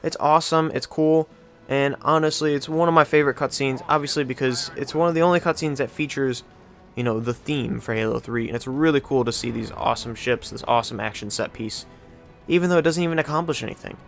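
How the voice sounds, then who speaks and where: A man's voice: medium pitch, quick pace, creaky, soft background music. A man giving casual, rambling commentary as the host of a video game countdown, often correcting himself conversationally.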